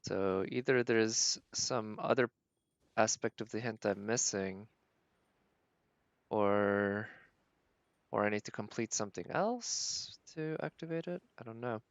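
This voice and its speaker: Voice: medium pitch, slow pace, long pauses, no echo. A game livestream host giving casual live commentary, thinking aloud in a tentative, musing way.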